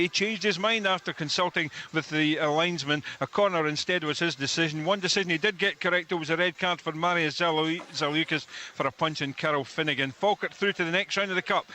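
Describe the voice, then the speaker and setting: A man's voice: a little high, fairly quick, few pauses, bright, forceful tone. A man giving a live broadcast report on a football match. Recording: broadcast report with faint background noise.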